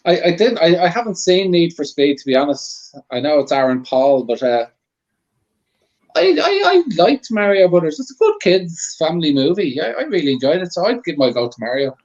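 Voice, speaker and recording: A man's voice: fairly high pitch, big pitch swings, normal speed, darkish tone. A man sharing casual opinions as a guest on an online film panel. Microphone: online group call. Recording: dull online-call audio.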